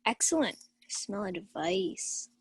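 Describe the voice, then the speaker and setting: A woman's voice: mid-range voice, animated, takes it slowly, breathy edge. A young woman playing a drunken, rowdy, flippant uncle in a Shakespeare radio play. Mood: scheming, amused. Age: young adult. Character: Sir Toby Belch, drunken rowdy carousing uncle.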